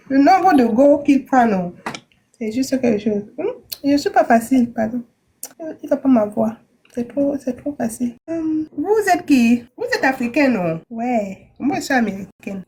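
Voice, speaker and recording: A woman's voice: high voice, a little mellow. A woman from Brooklyn hosting a casual live call-in stream, chatty and teasing as she gives advice. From USA (Brooklyn, New York). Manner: chatty, teasing, laughs often. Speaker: live stream host giving visa advice.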